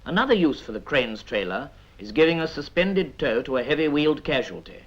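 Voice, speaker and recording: A British man reads scripted 1950s military training film narration in a measured, instructive manner with dry asides. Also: on the high side, big pitch swings. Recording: dull vintage 1950s film soundtrack.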